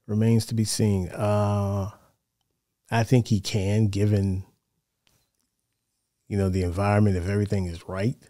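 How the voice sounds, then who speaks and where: A middle-aged American man giving casual sports commentary in a measured, conversational way, close on a studio mic. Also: lowish voice, level tone, warm tone, no echo. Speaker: sports show host. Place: small home studio, indoors. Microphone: close broadcast mic on boom arm.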